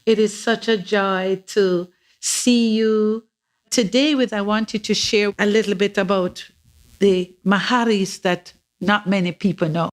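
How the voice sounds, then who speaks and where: A woman's voice: mid-range voice, fairly even intonation, measured pace. An older woman hosting an arts podcast, reading a scripted introduction and then interviewing a guest with encouraging questions. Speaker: podcast host, curator.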